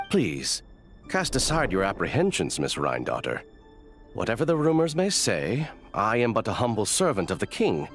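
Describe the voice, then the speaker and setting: An actor playing a suave, falsely humble male royal mage in a video game, polished and theatrically courtly. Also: on the low side. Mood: smooth, ingratiating.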